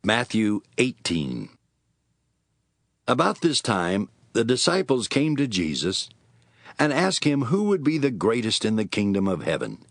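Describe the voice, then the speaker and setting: A man's voice: on the low side, huge pitch swings, measured pace, no echo, studio quality. A man giving scripted narration of scripture as the narrator of an audio drama. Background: music.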